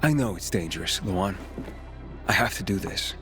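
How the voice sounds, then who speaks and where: A man's voice: low voice, expressive, moderate pace, music in the background. An actor playing a determined, cocky young male survivor in a video game, talking in a casual, confident way. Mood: confident, teasing.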